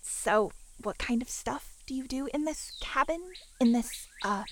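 Casting: woman playing a female camp authority in an audio drama, highish voice, soft, measured, pious condescension. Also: slight background noise, natural voice. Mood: sweetly condescending. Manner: soft, measured, pointed, falsely gentle. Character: controlled, sweetly condescending woman camp leader confronting a girl. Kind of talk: horror audio drama acting.

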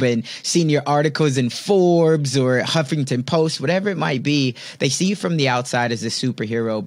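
Man, mid-pitched voice, podcast interviewer, motivational, complimentary, dry sound. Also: no room sound. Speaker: podcast host. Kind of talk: enthusiastic interview with long set-up questions.

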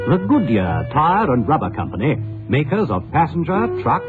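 A man's voice: on the high side, wildly swooping pitch, moderate pace, narrow, tinny audio. A man working as a radio announcer, reading a formal, dramatic scripted introduction. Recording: thin vintage radio broadcast. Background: loud music.

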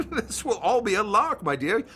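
Cocky celebrity magician, jaunty and boastful, fairly high piercing bright voice, theatrical radio drama delivery. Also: male voice, very animated, normal speed, faint music behind, full character voice. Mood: dismissive bravado. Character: brash, cocky celebrity stage magician, eager for adventure.

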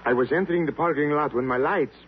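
An actor playing a genial, excitable South American police inspector with a Spanish accent and a stammering manner in 1950s radio drama. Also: male voice, a little high, quick pace, a little hiss or hum, close to an everyday voice. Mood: helpful. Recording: tinny old radio broadcast recording.

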